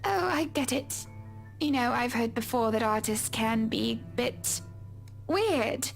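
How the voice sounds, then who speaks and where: A gracious, condescending socialite wife with a high voice. She is sweetly polite and commanding, scattering endearments as a visual novel character, over soft background music. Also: expressive, takes it slowly, creaky, exaggerated voice. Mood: politely displeased. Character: poised, wealthy married socialite woman, gracious and condescending.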